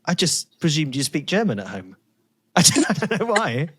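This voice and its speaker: Higher-voiced man co-hosting a chat. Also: sing-song, normal speed, fairly bright tone, husky. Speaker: podcast co-host, interviewer. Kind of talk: casual interview chat.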